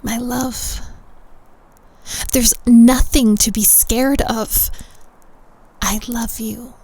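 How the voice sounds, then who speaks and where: A woman acting as a lovesick witch, slow, cooing, menacing, possessive, higher-pitched voice, audio roleplay. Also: fairly even intonation, dark tone, husky, no echo, full character voice, poor audio.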